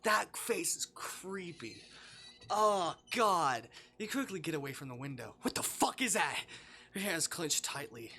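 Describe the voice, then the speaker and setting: A livestreamer reading a visual novel aloud and commenting on it, expressive and sweary, with dramatic delivery. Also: medium pitch, measured pace. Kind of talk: livestream gameplay commentary mixed with read-aloud narration. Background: music.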